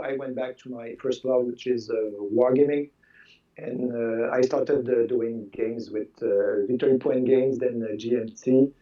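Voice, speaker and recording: A man of sixty whose first language is French, a game designer chatting in a video call interview, halting and repeating words. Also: medium pitch. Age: 60s. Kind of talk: casual interview chat. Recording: distant, dull lo-fi sound.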